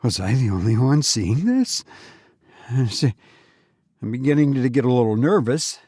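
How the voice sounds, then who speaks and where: A man hosting a podcast and telling stories informally in the first person, with a halting, hesitant delivery. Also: medium pitch, very animated, mellow, slightly rough, dry recording, clean studio sound. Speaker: podcast host and narrator.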